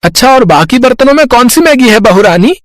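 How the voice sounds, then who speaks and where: A man's voice: very high-pitched, expressive, a little fast, no echo, clean studio sound. A man voicing a jovial older uncle in a family story, hearty and exclaiming. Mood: baffled.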